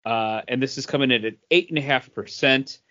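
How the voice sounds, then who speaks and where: A man's voice: medium pitch, brisk, a bit bright. A man co-hosting a podcast in casual chat, hesitant and searching for his words. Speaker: podcast co-host. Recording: dull lo-fi audio.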